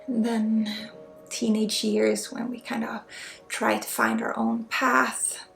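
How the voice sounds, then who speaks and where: A woman with a Swedish accent leading an ASMR energy healing session, soft-spoken with pauses and often dropping into whispers. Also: on the high side, level tone, vocal fry. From Sweden. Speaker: ASMR energy healer and spiritual guide. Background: music.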